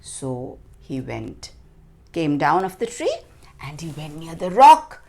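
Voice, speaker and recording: A woman telling a children's story in an expressive, deliberate way, with dramatic phrasing and stressed words. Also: low voice.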